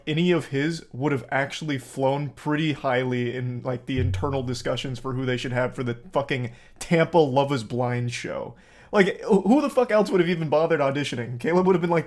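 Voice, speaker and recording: Male online host, dry sardonic commentary, casual delivery. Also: fairly high pitch. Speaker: YouTuber host.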